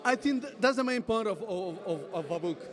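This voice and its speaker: A man hosts a casual interview, halting and repeating himself with non-native phrasing. His voice is fairly high. A lively crowd is clearly audible around him. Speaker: interviewer host.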